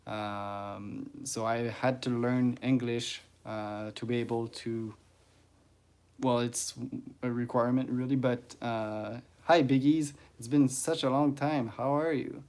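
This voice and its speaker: Male streamer, French first language, unhurried mid-pitched casual talk, halting with fillers. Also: steady tone, darkish tone. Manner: halting, many "uh"s and restarts, greets chat viewers. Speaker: ant-keeping livestreamer. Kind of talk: casual livestream chat.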